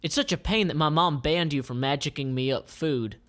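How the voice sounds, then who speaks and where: A man voicing an eager, chatty young princess from a comic in an animated way. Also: fairly high pitch, fairly quick, natural voice.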